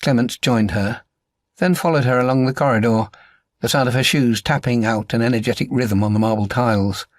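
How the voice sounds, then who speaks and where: A man reading a crime novel as an audiobook narrator, in a measured and articulate way. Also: medium pitch, dry recording, clean studio sound.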